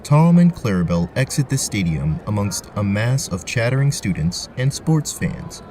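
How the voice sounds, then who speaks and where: A man's voice: fairly low pitch, big pitch swings, moderate pace, darkish tone, a little hiss or hum. A man narrating a scripted tabletop fantasy story as its storyteller. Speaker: narrator, game master.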